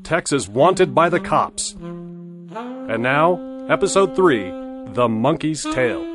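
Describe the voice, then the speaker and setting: A man's voice: fairly high pitch, animated, slow pace, music in the background. An actor playing a male announcer, giving a dramatic radio-serial recap. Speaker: narrator.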